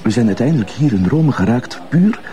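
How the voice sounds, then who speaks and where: An actor playing a polite, awkward, eager junior police colleague with a Flemish accent and a hesitant, deferential manner in a television crime drama. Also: male voice, mid-range voice, sing-song, fairly quick, mellow, lo-fi sound, lightly acted. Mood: curious, hesitant.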